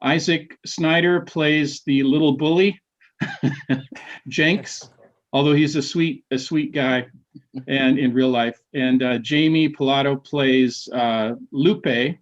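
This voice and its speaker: A middle-aged man, a history professor and playwright, talking casually on a video call, halting with many restarts and fillers. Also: mid-range voice. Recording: dull lo-fi call audio.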